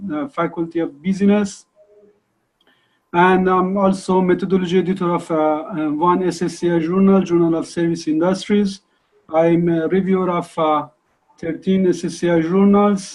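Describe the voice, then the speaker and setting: Male academic, 30s, webcam lecture, high voice, hesitant non-native delivery. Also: a little mellow, poor audio. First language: Persian. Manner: halting non-native English, frequent uh pauses. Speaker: university lecturer. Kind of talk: online lecture. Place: indoor room on video call. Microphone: video call webcam.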